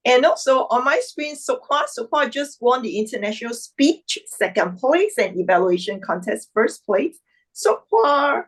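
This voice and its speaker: Woman, meeting host, upbeat, exclamatory, slightly high voice, video call. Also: expressive, measured pace, poor audio. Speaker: meeting host introducing guests. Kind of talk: online club meeting chat.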